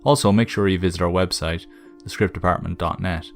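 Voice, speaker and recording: A man with a deep voice announces podcast credits in a scripted sign-off. Soft guitar music plays behind him. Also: brisk.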